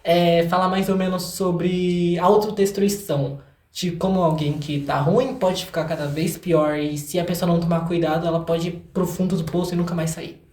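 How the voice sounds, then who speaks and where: A man's voice: high-pitched, fairly even intonation, moderate pace, darkish tone. A young Brazilian man chatting casually and effusively as an interview guest, often repeating words for emphasis. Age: young adult. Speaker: singer-songwriter guest. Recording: echoey room.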